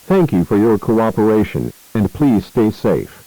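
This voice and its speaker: A dry, close recording of a male announcer. His voice is low and dark, and he delivers a scripted emergency alert formally, with grave, measured phrasing. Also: big pitch swings, no room sound, low-quality recording.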